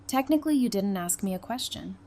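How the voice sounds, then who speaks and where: A woman acting an affectionate, gently teasing girlfriend in an audio drama, in a casual conversational manner. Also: medium pitch, animated, a little fast, lightly acted. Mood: loving, teasing. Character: affectionate, teasing girlfriend in a post-apocalyptic romance. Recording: noticeable background noise.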